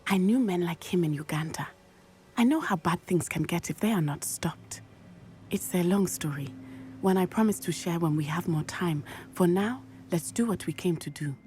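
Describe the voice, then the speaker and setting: A woman playing a determined, secretive teenage schoolgirl witch in a video game, speaking in an earnest, formal, hushed way. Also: fairly low pitch, moderate pace, a little hiss or hum, lightly acted. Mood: earnest, resolute. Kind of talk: video game cutscene acting.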